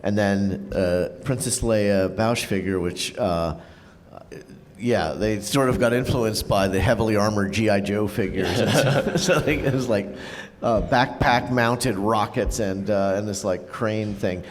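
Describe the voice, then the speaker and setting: A man co-presenting at a convention panel, talking casually with halting restarts and fillers. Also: fairly low pitch. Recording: reverberant hall.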